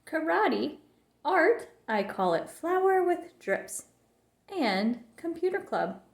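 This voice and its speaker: A woman's voice: high voice, sing-song, slow, creaky, reverberant. A woman narrating a children's storybook as a scripted read-aloud.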